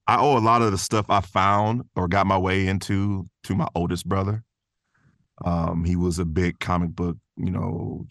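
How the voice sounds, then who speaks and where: A man with a low voice talks casually as a podcast guest. He rambles with enthusiasm and drops in plenty of conversational tags, in a dry, close recording. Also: a bit bright, dry recording. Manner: rambling, enthusiastic, peppers talk with "man" and "you know".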